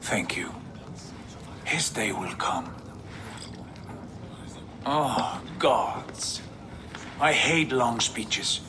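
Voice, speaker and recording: A man's voice: medium pitch, animated, extremely slow, long pauses, background noise. A man acting a commanding, slightly weary Viking nobleman in a video game, understated and dry. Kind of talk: cinematic video game dialogue.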